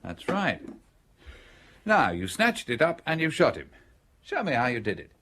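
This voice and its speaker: A very animated, sardonic, probing Englishman. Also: medium pitch, unhurried, slight background noise, heavily acted. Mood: commanding. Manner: direct, teasing, insistent questioning. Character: shrewd, probing stranger, coolly sardonic. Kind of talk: radio drama acting.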